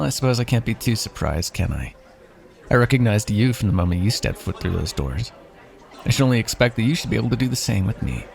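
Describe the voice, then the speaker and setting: A man's voice: lowish voice, vocal fry, darkish tone. A man playing a wry, teasing royal heir and former mercenary in an ASMR fantasy roleplay, with formal, theatrical phrasing.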